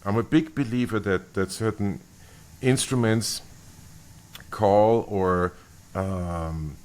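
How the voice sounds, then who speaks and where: A middle-aged man from Austria, a bassist being interviewed, speaking thoughtfully with many restarts and fillers. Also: slow pace, darkish tone. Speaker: bassist guest. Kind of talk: interview conversation.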